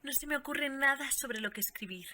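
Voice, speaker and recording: A woman's voice: sits high, fairly quick, pushed and bright. An actress playing a frustrated young woman complaining about her writing practice. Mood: exasperated.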